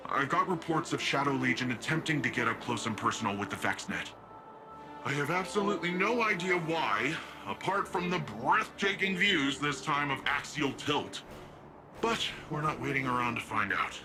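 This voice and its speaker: An actor playing an earnest, informal sci-fi city defender in a game cutscene, brave and wry but shaken. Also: male voice, mid-range voice, lightly acted. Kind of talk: video game cutscene acting.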